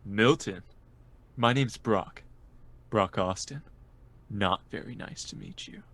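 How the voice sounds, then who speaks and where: A man's voice: lowish voice, slow pace, fairly bright tone. A man playing a vain, melodramatic lover and dancer with a grandiose, theatrical delivery in an audio drama. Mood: indignant.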